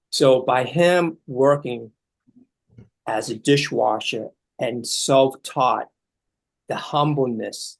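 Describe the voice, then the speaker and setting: Man from Chile, gravelly voice, rambling storyteller, chef on a panel. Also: medium pitch, poor audio. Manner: rambling, reflective storytelling with many fillers. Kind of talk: casual panel talk.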